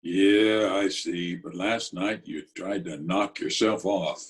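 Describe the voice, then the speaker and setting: A man playing a laconic, scheming Broadway tough guy in an old-time radio drama, with a husky voice and terse, deliberate delivery. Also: deep voice, steady tone, full character voice. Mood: terse, probing. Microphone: video call recording.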